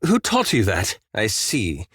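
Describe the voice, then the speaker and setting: Refined, scholarly elf wizard, lively pitch. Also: male voice, slow pace, slightly rough, dry recording, exaggerated voice. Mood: prim, gently scolding. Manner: precise, formal, bookish phrasing with dry understatement. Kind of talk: video game character dialogue.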